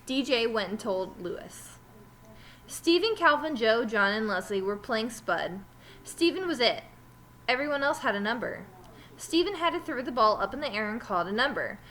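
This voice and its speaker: Animated, bright-voiced woman reading aloud. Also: fairly high pitch, normal speed. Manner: animated, emphatic storytelling. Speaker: teacher reading aloud to a class. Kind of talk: expressive children's story read-aloud.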